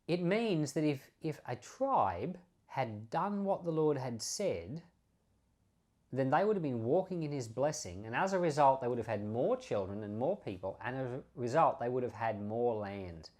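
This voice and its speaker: A man's voice: mid-range voice, very animated, normal speed, a little mellow. Man giving conversational Bible teaching. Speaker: pastor and podcast host. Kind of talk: informal Bible teaching commentary.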